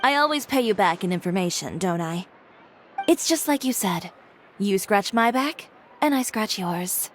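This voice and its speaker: Casting: woman as a poised fashion designer, confident and dry, mid-pitched voice, quietly in charge. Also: lively intonation, moderate pace, noisy background, lightly acted. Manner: cool, confident, dryly teasing. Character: composed, self-assured female fashion designer.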